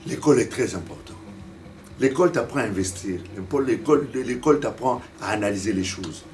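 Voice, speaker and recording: Halting African-born pastor preaching from afar. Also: male voice, fairly low pitch, animated, darkish tone, a little hiss or hum. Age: 50s. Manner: halting, repeats words while searching for them; asks the congregation direct questions. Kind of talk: sermon. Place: church service. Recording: distant mic with faint room ambience.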